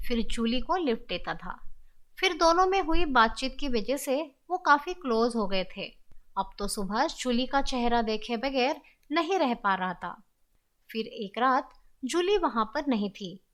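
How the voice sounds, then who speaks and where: A woman's voice: high voice, fairly bright tone. A woman storyteller reading a scripted horror story as narrator.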